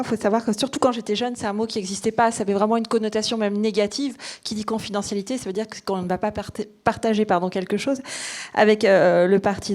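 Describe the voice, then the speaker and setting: Quick-talking woman interpreting. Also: fairly high pitch, darkish tone. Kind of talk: consecutive interpreting before an audience.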